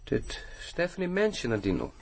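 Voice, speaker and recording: An actor playing a blunt, persistent detective, dry and probing, in a naturalistic radio drama. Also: male voice, medium pitch, huge pitch swings, brisk, creaky, slight background noise.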